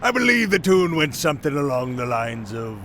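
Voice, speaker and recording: An actor playing a boastful, coolly cruel pirate captain in a fantasy audio drama, measured and mocking with old seaman's phrasing. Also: fairly high pitch, very animated, pushed and bright, slight background noise. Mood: cool menace.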